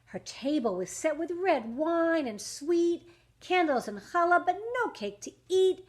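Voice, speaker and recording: Woman, children's story reader, high pitch, lively swooping intonation, dramatic emphasis, questions to young listeners. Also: a little slow. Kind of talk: expressive read-aloud of a rhyming picture book with asides to children.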